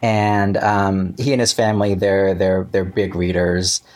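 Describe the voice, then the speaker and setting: Male interview guest, lowish pitch, casual storyteller who chats haltingly and often rephrases. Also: little pitch movement, a little mellow.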